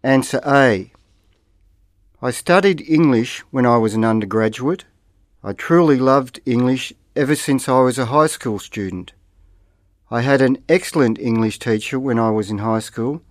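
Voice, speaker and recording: A man reading scripted model interview answers aloud in a slow, carefully enunciated way, like a language course recording. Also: mid-range voice, no echo, studio quality.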